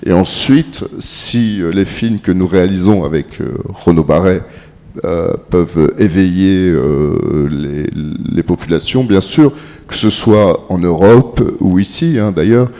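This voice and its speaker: A man's voice: sits low, mellow. A man, a filmmaker, answering an audience question at a conference with many hesitations and fillers. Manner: slow and halting, many pauses and fillers. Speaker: filmmaker on a panel. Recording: echoey hall.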